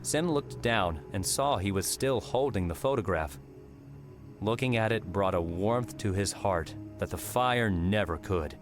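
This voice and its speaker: A male audiobook narrator reads scripted fiction in a fairly low voice. Music plays clearly behind the narration. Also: expressive, measured pace.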